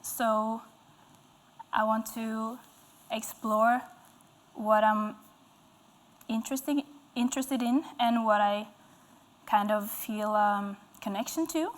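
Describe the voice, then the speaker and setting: A woman's voice: on the high side, steady tone, slow, long pauses. A young woman musician with a Norwegian accent, a native Norwegian speaker answering interview questions hesitantly as she searches for words. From Norway, west coast. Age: young adult. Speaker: guest, musician. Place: indoor stage with dark curtains.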